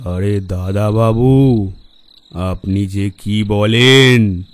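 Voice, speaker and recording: An eerie ghost boatman from a horror audio play. His low, smooth voice swings wildly and drags each phrase out slowly, oily polite and given to cackling. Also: fairly bright tone, dry recording, exaggerated voice. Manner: slow drawn-out speech broken by cackles. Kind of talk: horror audio drama acting.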